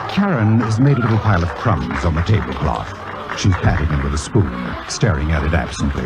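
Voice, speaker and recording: An actor playing an urbane, wry theater critic who narrates an old radio drama in a suave, deliberate manner. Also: male voice, fairly low pitch, poor audio. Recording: old radio recording, music and crowd behind.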